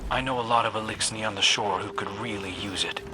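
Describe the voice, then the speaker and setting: Earnest young scout guy male actor, kind n conversational game dialogue, loud music behind. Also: fairly low pitch, steady tone, fast talker, close to an everyday voice, rough recording. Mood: concerned.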